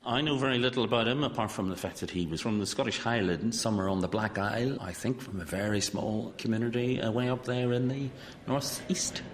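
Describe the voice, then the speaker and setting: A man's voice: lowish voice, fairly quick, few pauses, slight background noise. A man giving informal, somewhat hesitant explanations as an expert being interviewed. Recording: reverberant hall-like room.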